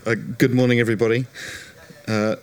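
A man from the UK speaking on a panel as a non-profit director, his voice slightly croaky, telling his story in a self-deprecating, animated way. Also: medium pitch, fairly quick, low-quality recording. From United Kingdom. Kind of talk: panel discussion.

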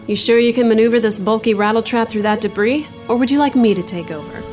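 A woman's voice: fairly high pitch, fast, darkish tone, lightly acted. A woman playing a tough, sardonic space adventurer in an audio drama, with a wry, confident delivery. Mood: cocky. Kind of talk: audio drama character acting. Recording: music playing behind the voice.

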